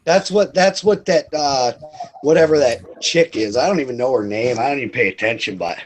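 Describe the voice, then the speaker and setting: A man's voice: medium pitch, a little fast, a little hiss or hum. A man hosting a casual livestream chat over his phone, laughing often and repeating words as he starts a thought. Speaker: livestream host and dad. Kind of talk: casual group video chat.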